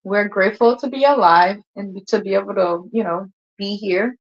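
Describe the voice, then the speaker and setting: A Liberian woman hosting a casual live chat, telling stories in a relaxed way with colloquial slang and frequent laughter. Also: normal speed. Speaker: host. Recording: muffled low-fidelity stream audio.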